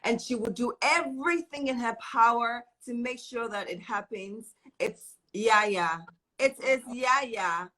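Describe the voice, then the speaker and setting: A woman's voice: a little high, slow pace, bright, forceful tone, poor audio. A woman hosting a live online show, chatting casually and showering her guest with encouragement, often repeating herself. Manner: effusive, encouraging, repeats phrases. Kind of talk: casual live-stream chat.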